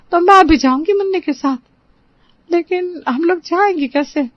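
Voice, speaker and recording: High-pitched worried housemaid, put on by a woman. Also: dry recording, dull audio. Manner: anxious, plaintive. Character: worried, simple younger housemaid, a mother.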